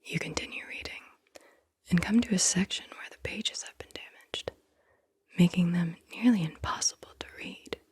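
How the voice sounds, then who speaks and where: A woman whispering softly and breathily as she hosts a soothing, deliberate ASMR guided visualization. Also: fairly low pitch, husky. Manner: soothing, slow and deliberate, repeats words softly. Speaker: ASMR host guiding visualization exercises.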